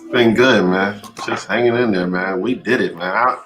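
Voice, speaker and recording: A man's voice: fairly low pitch, moderate pace, fairly bright tone. A man appearing as a guest on a live talk show, openly emotional and effusive.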